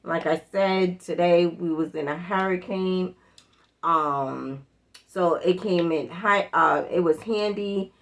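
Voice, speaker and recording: A middle-aged woman with a slightly low voice talks to her viewers in a casual, chatty way. She hosts a demonstration and circles back over her points to stress them. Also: slow pace. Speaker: prepper vlog host. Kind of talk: casual product demonstration chat.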